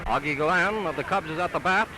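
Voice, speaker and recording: A man's voice: on the high side, a little fast. An American man giving emphatic, punchy baseball commentary as a newsreel announcer of the 1930s. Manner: emphatic, declamatory delivery in short punchy phrases. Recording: noisy 1930s optical newsreel soundtrack, crowd roar. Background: stadium crowd noise.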